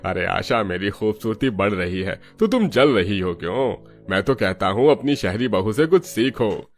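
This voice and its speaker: Low-voiced proud old father-in-law played by a man. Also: animated, unhurried, faint music behind. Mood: proud, pleased.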